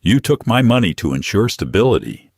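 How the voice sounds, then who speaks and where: A man playing a cold mafia boss in an audio story, low-voiced, terse and commanding with controlled menace. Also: very animated, fairly quick, creaky, darkish tone, breathy edge, no room sound, studio quality, full character voice.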